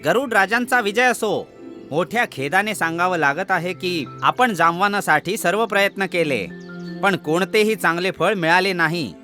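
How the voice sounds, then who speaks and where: A man's voice: high voice, lively intonation, normal speed, bright, forceful tone, faint music behind. A man voicing an eagle courtier who proclaims deferentially to his king in a children's cartoon. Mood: regretful. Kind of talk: animated children's story dialogue.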